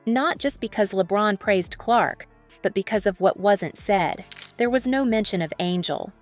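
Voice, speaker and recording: Female narrator, mid-range voice, scripted dramatic sports news read, faint music bed. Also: medium pitch.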